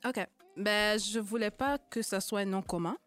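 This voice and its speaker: A woman's voice: mid-range voice, moderate pace, fairly bright tone, faint music behind. A woman from Côte d'Ivoire with an Italian-tinged Ivorian accent, a radio journalist chatting playfully in the studio. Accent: Italian-tinged Ivorian French. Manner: playful, self-mocking. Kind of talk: studio chat.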